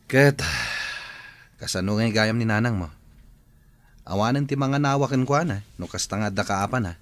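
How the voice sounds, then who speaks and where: An actor playing a concerned, sympathetic school colleague in a radio drama, speaking in a probing way. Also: male voice, on the low side, normal speed, dry recording, natural voice. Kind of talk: Filipino radio drama acting.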